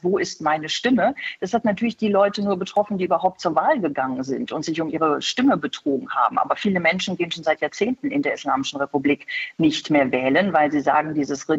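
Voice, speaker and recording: A woman's voice: lowish voice, slightly rough, muffled recording. A woman, a professor, explaining earnestly as an expert guest in a radio interview, heard over a remote line. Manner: earnest, explanatory, lively tempo shifts.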